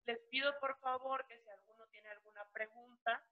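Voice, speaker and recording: A woman's voice: on the high side, fairly even intonation, normal speed. A woman moderating a webinar, introducing the session politely and formally with filler words, over a video call. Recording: tinny phone-like video call audio.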